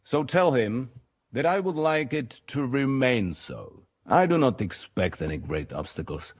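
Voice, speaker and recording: A man playing a shrewd, weary middle-aged lord in a video game, speaking in a formal, measured and commanding manner. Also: medium pitch, big pitch swings, slightly rough. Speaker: medieval nobleman. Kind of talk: video game cutscene acting.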